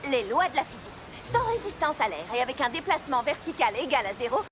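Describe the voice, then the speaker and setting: A woman voicing a spirited, theatrical teenage girl in an animated series dub, speaking emphatically. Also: high voice, quick pace, noisy background. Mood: instructive. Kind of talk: animated cartoon dub acting.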